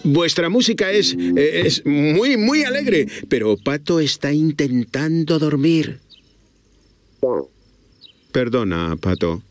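A man's voice: on the high side, big pitch swings, slow, heavy background noise. A man with a Castilian Spanish accent narrating a preschool cartoon, talking playfully to the characters and expressively to small children. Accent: Castilian Spanish from Spain. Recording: children's music behind the voice.

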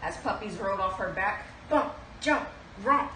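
A young adult woman reading a children's picture book aloud with expressive delivery, recorded from a camera in a small room. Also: medium pitch, unhurried. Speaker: animal shelter kennel technician reading aloud. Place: small indoor shelter room. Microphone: camera a few feet away. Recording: roomy, noisy, distant camera microphone.

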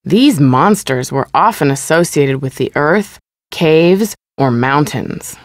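A woman with a notably low voice reads museum exhibit narration for a video game. Her delivery is formal, measured and explanatory, and the sound is very dry. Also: expressive, no echo.

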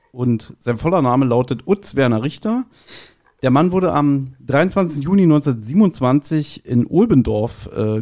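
A man's voice: medium pitch, darkish tone. A man talking casually as a guest on a review podcast.

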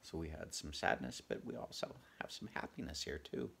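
A man in his fifties reading a children's storybook aloud in an expressive, animated way at home. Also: rumbling low, moderate pace, creaky. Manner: animated storytelling with asides to the listener. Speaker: storybook reader. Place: small furnished room at home.

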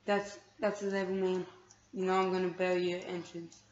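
Lower-voiced woman gaming, echoey lo-fi room. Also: level tone, brisk. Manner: hesitant, lots of ums, bossy with her co-player. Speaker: gamer. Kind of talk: casual gaming chat. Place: room filmed off a TV screen. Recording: echoey lo-fi room recording with noise.

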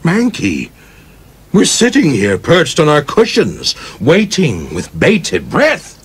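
An actor playing a confident, theatrical young film director in a radio play, declaiming dramatically with a husky voice. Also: male voice, a little high, wildly swooping pitch, takes it slowly, full character voice. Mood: incredulous, wry.

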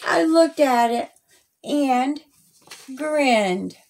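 A woman reading a rhyming children's story aloud in a deliberate, sing-song way, as a storyteller. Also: high-pitched, animated, a little mellow.

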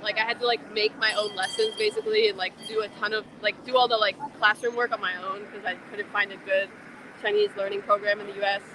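A young woman from the United States chatting casually during a walking livestream, filled with likes and laughter. Her mid-range voice comes through a handheld phone, with clear street noise all around. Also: medium pitch, flat delivery, fast. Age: young adult. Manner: chatty, laughs often, says like a lot. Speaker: livestream co-host. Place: busy city pedestrian street outdoors.

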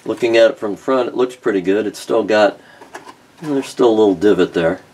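A man's voice: lowish voice, fairly quick, a little mellow, a little hiss or hum. A middle-aged man narrating a repair at his workbench in a casual, thinking-aloud way with many ums and restarts. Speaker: car restoration vlogger. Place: home workshop at a workbench.

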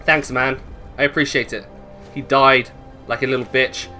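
A man's voice: mid-range voice, little pitch movement, moderate pace, pushed and bright, soft background music. A man giving casual, chatty gameplay commentary, thinking aloud playfully and swearing freely. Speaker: gaming video host.

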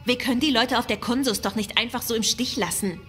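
A woman's voice: a little high, quick pace, a bit bright, close to an everyday voice. Outspoken starship crewwoman played by a woman, faint music. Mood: concerned. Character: outspoken, compassionate female crew member. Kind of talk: sci-fi audio drama acting.

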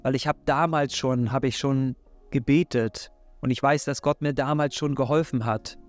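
A man's voice: medium pitch, steady tone, normal speed, soft background music. A man in his thirties from Hamburg with a Hamburg German accent, giving an earnest, encouraging faith talk straight to camera in a living room. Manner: earnest, encouraging, direct address. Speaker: faith and self-help YouTuber. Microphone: desk microphone in front.

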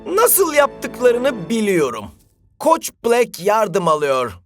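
Excitable, gullible young male footballer. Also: medium pitch, takes it slowly, music in the background. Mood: convinced, insistent. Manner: earnest, excitable, insistent. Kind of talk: dubbed cartoon acting.

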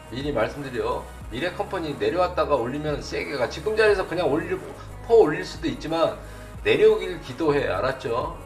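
Male livestream host, middle-aged, blunt and quizzing, very animated pitch, mic at a distance. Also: fairly quick. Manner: blunt, informal, quizzing viewers with repeated either-or questions. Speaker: stock broadcast host. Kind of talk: live stock chart commentary for viewers. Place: indoor desk at a computer, livestream setup. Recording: roomy, distant mic, faint music behind.

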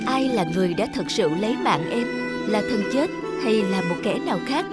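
A woman's voice: mid-range voice, lively intonation, brisk, loud music behind the voice. A woman reading scripted fairy-tale narration as the narrator.

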